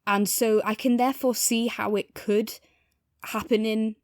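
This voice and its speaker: A woman's voice: fairly high pitch, level tone, a little slow, darkish tone, no echo, studio quality. A woman chatting casually and thoughtfully as a podcast co-host in a review discussion.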